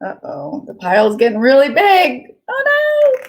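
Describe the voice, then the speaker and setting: A woman children's author chatting casually and reading aloud to children, with an excitable manner. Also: high voice, a little slow. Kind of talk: casual chat and animated read-aloud for children.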